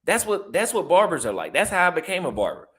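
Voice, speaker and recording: A man's voice: high-pitched, sing-song, fast, a bit bright. A young man with a Texas accent, chatting casually in a vlog and reacting aloud as he browses apartment listings, repeating his phrases. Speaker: vlogger and barber.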